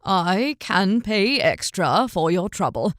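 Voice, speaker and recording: A woman voicing a calm, principled male traveler in an audiobook, speaking slowly and politely. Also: medium pitch, dry recording, studio quality. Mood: courteous. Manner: slow, measured, courteous. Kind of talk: audiobook character voice.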